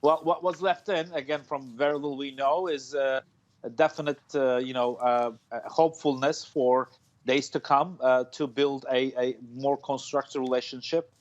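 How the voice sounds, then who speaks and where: A man's voice: medium pitch, steady tone, moderate pace. A man in his forties, a foreign policy analyst, speaking live from home over a video call, hesitant, with many fillers and restarts. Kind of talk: live news interview. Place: room at home. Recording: dull lo-fi video call audio.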